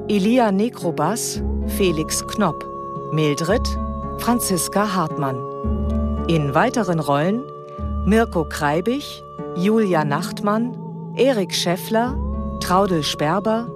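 Slow, low-voiced woman announcer. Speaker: announcer reading title and credits. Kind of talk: scripted radio announcement. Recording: loud plucked guitar music behind. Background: guitar music.

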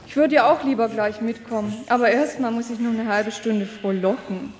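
In a roomy echo, an archangel acted by a woman speaks with a fairly high female voice. She is a world-weary messenger of radio comedy, able to sound grandly solemn or flippantly casual. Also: fairly even intonation, normal speed, a little mellow, lightly acted. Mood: flippant, jaded. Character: jaded, chatty female archangel on the job. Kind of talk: comic radio play acting. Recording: echoing radio play recording.

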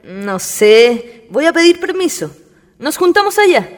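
A woman's voice: high voice, big pitch swings, unhurried. A woman playing a grumbling but good-natured young Chilean woman in a radio play, speaking in curt colloquial phrases. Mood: curious, casual. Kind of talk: amateur radio play acting. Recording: noisy, echoing hall with clicks.

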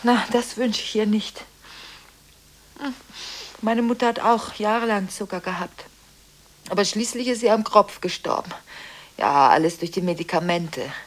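An actress playing a distraught, contradictory woman in a radio drama, talking on the phone in a halting, agitated way with repeated words and broken-off phrases. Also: medium pitch, natural voice. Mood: nervous, chatty. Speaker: lead actress in a radio play. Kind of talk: 1980s German radio drama acting, telephone monologue.

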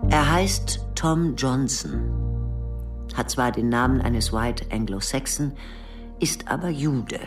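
Spy chief in her sixties, very deep female voice, measured, coolly persuasive, radio drama, slightly distant with faint music. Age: older. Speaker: actor in radio play. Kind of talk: German radio drama dialogue.

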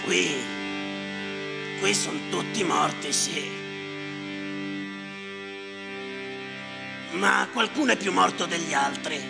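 An actor playing a boastful, bitter island general in a theatrical stage monologue, with a harsh, declamatory delivery. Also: male voice, high-pitched, measured pace, heavily acted. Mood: grim, cynical. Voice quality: harsh, gravelly voice. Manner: declamatory, commanding, emphatic, sardonic. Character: boastful, bitter, self-appointed island general herding dead spirits. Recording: echoing theater hall with live music.

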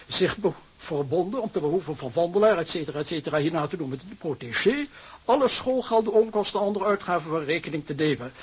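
A fussy male bookkeeping clerk in a radio play. The voice is high for a man and very husky. He is pedantic and formal, with a hesitant bureaucratic manner. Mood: droning formality. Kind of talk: Dutch radio drama acting.

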